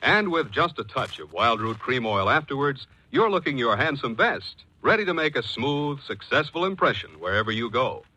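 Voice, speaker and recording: A man's voice: mid-range voice, very animated, moderate pace, hardly any pauses, bright, forceful tone, rough recording. An American man announcing a 1940s radio show and its commercials with polished, emphatic salesmanship.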